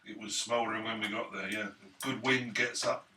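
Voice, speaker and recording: A man's voice: lowish voice, fairly even intonation, fairly quick, bright, forceful tone, distant mic, soft background music. A man playing a tabletop game, chatting casually in character with a dry, hesitant manner. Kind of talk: casual roleplay chat. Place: indoor room at gaming table. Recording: echoey room, off-mic, faint noise.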